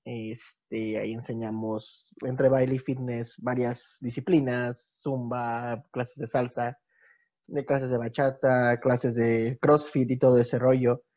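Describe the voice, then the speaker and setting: A man from Mexico City with a Mexican accent, a podcast guest chatting in a relaxed, slangy way over a video call. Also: medium pitch, slow pace. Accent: Mexican Spanish. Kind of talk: casual chat. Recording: slightly dull lo-fi sound.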